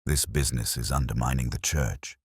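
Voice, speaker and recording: A man's voice: a bass voice, fairly even intonation, brisk, slightly rough, no echo, studio quality, voice pushed down. A man playing an indignant, pompous, defiant clergyman in a mystery story. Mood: indignant complaint.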